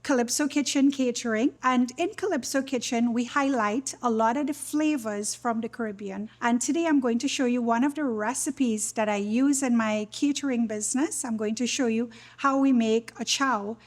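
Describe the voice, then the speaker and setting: A woman's voice: fairly high pitch, normal speed, few pauses. A woman from Trinidad and Tobago with a Trinidadian accent, giving an informal, conversational cooking tutorial and explaining each step. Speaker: cooking demonstrator, small catering business owner.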